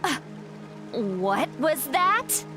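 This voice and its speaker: A woman voicing a kindly elderly shaman in a video game who strives to sound youthful, chatty, self-assured and gently guiding. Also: sits high, big pitch swings, very slow, loud music behind the voice, full character voice. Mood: fretful.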